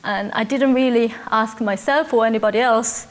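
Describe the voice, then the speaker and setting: A woman in her forties from South Korea with a Korean accent, speaking English as a second language and calmly telling her life story in an interview with hesitant pauses. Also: on the high side. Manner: reflective, measured, with hesitant fillers. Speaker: interviewee, church member. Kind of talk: personal testimony interview.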